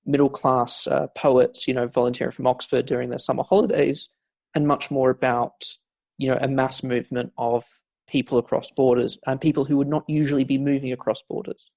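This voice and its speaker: A man's voice: mid-range voice, flat delivery, a little fast, vocal fry, a little mellow, no echo. A man speaking as a historian guest in a conversational podcast interview, explaining at length with frequent fillers and restarts. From not from Scotland, Scottish family.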